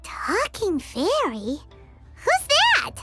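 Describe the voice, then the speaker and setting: A woman voicing a small, cheeky, bubbly fairy companion with a squeaky childlike voice in a video game. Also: huge pitch swings, very slow, full character voice. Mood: curious. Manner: speaks of herself in third person, bubbly. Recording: music behind the voice.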